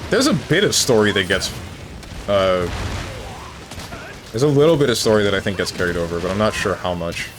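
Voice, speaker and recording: A man streaming a video game, chatting casually with his viewers and joking around, with bursts of mock excitement. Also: lowish voice, fairly quick, pauses often, background noise. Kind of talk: casual live-stream chat while gaming. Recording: game music playing underneath.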